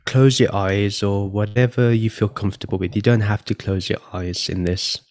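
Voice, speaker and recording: A man with a low-pitched voice guides a meditation. He gives soft, unhurried spoken instructions, leaving pauses between short phrases. The delivery is that of a podcast host leading listeners through an exercise. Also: fairly even intonation.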